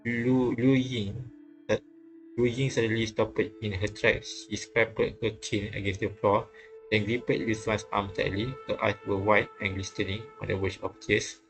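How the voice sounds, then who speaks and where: A man reading visual novel text aloud with casual commentary, haltingly and often repeating phrases. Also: lowish voice, fairly even intonation, gravelly, a bit bright, rough recording. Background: game music faintly behind.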